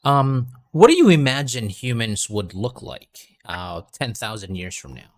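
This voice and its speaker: A man leads a casual English conversation lesson in a relaxed, encouraging way, with plenty of filler words. His voice sits at an ordinary middle pitch. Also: a little slow. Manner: relaxed and encouraging, many "you know"s. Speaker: English tutor.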